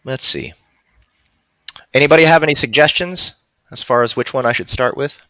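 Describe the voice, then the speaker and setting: A man's voice: mid-range voice, normal speed, sharp tone, no room sound. A man in his thirties chatting casually as a guest on a live stream, speaking into a headset. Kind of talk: casual chat. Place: room at home, video call.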